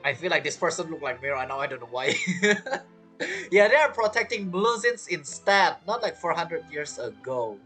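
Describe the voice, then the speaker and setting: Low-voiced woman giving chatty game commentary. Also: sing-song, moderate pace, fairly bright tone, soft background music. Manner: chatty, musing aloud. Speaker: gaming streamer. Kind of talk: casual game playthrough commentary.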